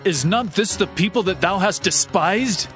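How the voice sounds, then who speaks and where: An actor playing a sly, scornful city ruler with a drawn-out, mocking delivery in a dramatized audio Bible. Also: male voice, high-pitched, animated, a bit bright, faint music behind. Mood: taunting.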